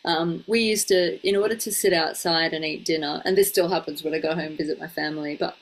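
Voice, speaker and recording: A woman from Australia hosting a casual interview, chatting and telling stories in an animated way. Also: low voice, quick pace, low-quality recording. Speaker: podcast host.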